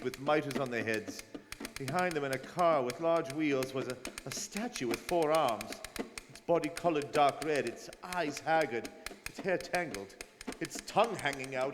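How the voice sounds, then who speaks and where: An actor playing a grave detective who recounts a journey in formal, suspenseful radio drama narration. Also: male voice, on the high side, close to an everyday voice. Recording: reverberant hall with faint noise.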